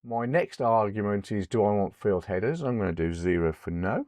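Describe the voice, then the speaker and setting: A middle-aged man, hoarse from a cold, hosting a screen-recorded spreadsheet tutorial. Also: fairly low pitch, normal speed, darkish tone, no echo. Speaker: host of an Excel tutorial channel.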